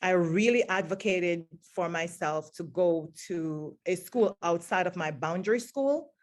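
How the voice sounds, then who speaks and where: A woman's voice: on the low side, measured pace. A woman who grew up in Jamaica, a teacher, answering interview questions in an earnest, reflective way with many fillers. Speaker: guest, teacher.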